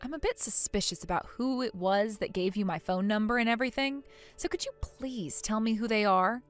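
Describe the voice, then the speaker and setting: A woman playing a polite, wary young bride in a scripted story drama, with a measured, questioning manner. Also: mid-range voice, faint music behind, close to an everyday voice. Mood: polite, suspicious. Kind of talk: scripted melodrama story dub.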